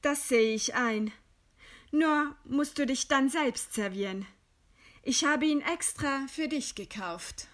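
An actress playing a proud, composed dissident writer in a German radio play, speaking with measured, scornful eloquence. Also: female voice, sits high, fairly bright tone. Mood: calm irony. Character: condemned dissident woman writer, widowed, proud, defiant, composed.

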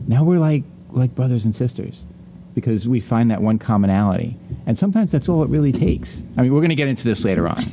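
A man's voice: medium pitch, lively intonation, fast, mellow, slight background noise. A middle-aged man hosting a casual panel conversation, speaking in a chatty, rambling, anecdotal way. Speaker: podcast host.

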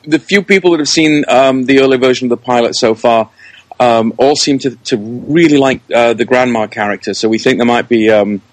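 A man's voice: medium pitch, fairly quick, no room sound. A man speaking as a guest in a casual interview, chatting about his own work. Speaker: interview guest, show producer.

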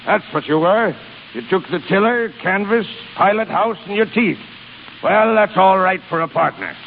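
An actor playing a crafty, bossy but soft-hearted old sea-captain businessman with salty bluster, in 1930s radio style. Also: high voice, lively intonation, normal speed, in a large hall. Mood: gleeful, crafty. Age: 60s. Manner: barking, salty nautical bluster with chuckles. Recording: dull, hissy 1930s radio recording.

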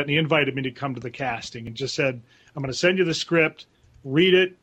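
A man's voice: medium pitch, a bit bright. A man, a voice actor guest, casually telling an anecdote on a panel.

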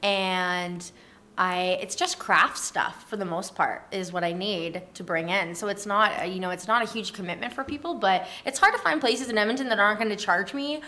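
A woman's voice: medium pitch, normal speed, sharp tone. A woman talking casually as an interview guest, explaining things in a chatty way. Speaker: interview guest, community organizer.

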